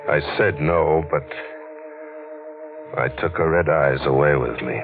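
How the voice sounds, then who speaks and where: Deep-voiced hard-boiled radio newspaperman. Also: a little slow. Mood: weary, brooding. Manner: terse, weary, hard-boiled. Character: world-weary, hard-boiled male crime newspaperman. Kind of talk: 1940s radio noir acting and narration. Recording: muffled vintage radio, music behind.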